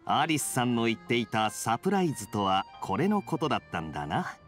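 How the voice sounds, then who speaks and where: A suave young knight from an anime game. He is smooth and wryly playful, with very lively pitch swings over background music. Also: brisk. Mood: teasing, relaxed. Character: suave, teasing young male knight, relaxed. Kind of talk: Japanese anime game voice acting.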